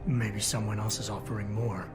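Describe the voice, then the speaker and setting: An actor playing a terse, hard-edged augmented security chief who speaks flatly in a game cutscene. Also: male voice, on the low side, moderate pace, over music, close to an everyday voice. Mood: curt. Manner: terse, flat, dry. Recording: game cutscene with loud score.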